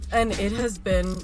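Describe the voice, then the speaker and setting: A woman speaking quietly and wearily from a parked car, congested from allergies. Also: mid-range voice, noisy background. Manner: quiet, weary.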